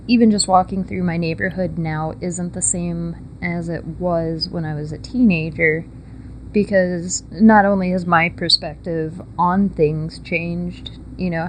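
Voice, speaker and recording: A woman's voice: lowish voice, slight background noise. Chatty young woman. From Texas. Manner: chatty, laughs often, loose storytelling with fillers. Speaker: guest. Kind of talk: casual interview.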